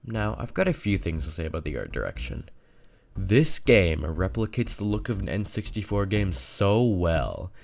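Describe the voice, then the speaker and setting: Low-voiced man narrating a game review. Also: sing-song, normal speed, vocal fry. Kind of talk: scripted video essay narration.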